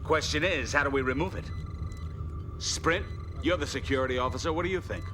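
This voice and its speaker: A man's voice: a little high, fairly quick, close to an everyday voice. A man playing a stern starship captain. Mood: thoughtful. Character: stern male starship captain, demands punctuality.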